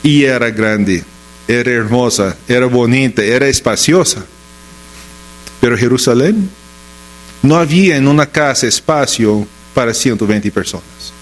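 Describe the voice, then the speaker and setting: A man's voice: mid-range voice, unhurried, poor audio. A man with an American accent and English as his native language, preaching a sermon as a pastor with rhetorical questions and emphatic, repeated short phrases. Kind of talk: Sunday sermon with scripture reading and storytelling.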